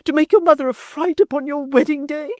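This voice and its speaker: A man doing a shrill whining old mother. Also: brisk, warm tone, no echo, clean studio sound. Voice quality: shrieking, whining. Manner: querulous and theatrical. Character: aged palsied mother, shrill, whining, self-pitying. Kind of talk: audiobook character voice.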